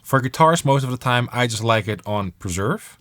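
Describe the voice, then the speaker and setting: A man presenting a software tutorial on music production in a casual, enthusiastic and emphatic way. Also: medium pitch, lively intonation, moderate pace.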